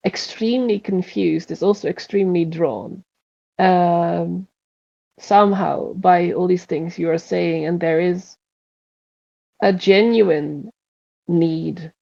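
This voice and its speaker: A woman speaks very slowly in a mellow, fairly low voice with plenty of fry. She improvises haltingly as a game player, and the audio is a little dull. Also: fairly even intonation. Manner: halting, searching for words. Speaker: player in a tabletop roleplaying game. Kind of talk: improvised narration of her character.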